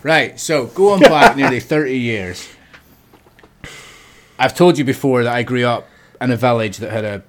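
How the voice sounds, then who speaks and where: A man's voice: mid-range voice, moderate pace, a little hiss or hum. A man, chatting casually as a co-host, joking and swearing as he talks. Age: 30s. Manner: banters, swears, laughs often. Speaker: podcast co-host. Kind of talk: casual chat.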